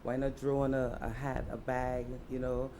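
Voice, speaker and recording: A woman's voice: a bass voice, level tone, moderate pace, dark tone, slight background noise. A woman from Trinidad, an artist and fashion designer, answering interview questions in a reflective way with many "you know" fillers.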